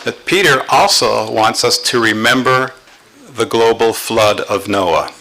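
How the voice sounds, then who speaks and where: A man with an American accent, giving a lecture at a conference in short phrases that leave pauses for his interpreter. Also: medium pitch, bright, forceful tone, low-quality recording. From born in Germany, raised in the United States. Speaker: speaker at a creation conference. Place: conference hall.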